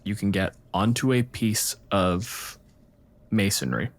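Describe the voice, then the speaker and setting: A man's voice: on the low side, lively intonation, slow pace, creaky. A man improvising narration as the game master of a tabletop fantasy game.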